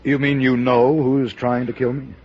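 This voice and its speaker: Casting: man playing vain, needy showbiz star, husky, pleading or defiant, vintage radio drama, muffled sound. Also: medium pitch, level tone, moderate pace, natural voice. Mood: nervous, pleading. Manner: hesitant, pleading, then boastful. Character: self-pitying, vain male TV comedy star under death threat. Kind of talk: old-time radio drama acting. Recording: dull vintage radio recording.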